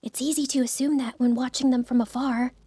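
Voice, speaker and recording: High-voiced, wary young student. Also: female voice, fairly even intonation, fairly quick, warm tone, husky, no echo, studio quality. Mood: explaining. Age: young adult. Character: cautious, clever young female student. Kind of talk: audiobook drama acting.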